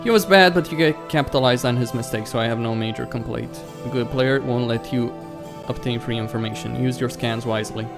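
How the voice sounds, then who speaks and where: A man's voice: mid-range voice, a little fast, vocal fry, hardly any pauses, over music, natural voice. A man reading the part of a stern young woman in a visual novel, a gaming coach who speaks in clipped, formal, blunt sentences. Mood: critical.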